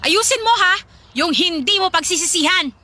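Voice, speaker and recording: Very high-pitched pleading Filipino mother. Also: expressive, moderate pace, very noisy. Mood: insistent, pressuring. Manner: coaxing, guilt-tripping, hesitant then insistent. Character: pleading, pressuring poor mother deep in debt. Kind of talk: Tagalog radio drama acting. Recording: loud music under the voice.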